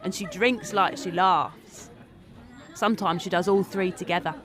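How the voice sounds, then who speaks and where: A woman's voice: on the low side, unhurried, crowd noise, lightly acted. A woman acting a wry, earthy confidante who gossips in a sardonic monologue for an audio drama. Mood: wry admiration.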